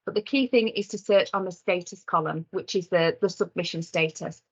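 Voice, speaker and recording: A woman giving a conversational instructional presentation as a software trainer in a webinar. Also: on the low side, a little fast, slightly rough. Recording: slightly dull lo-fi webinar audio.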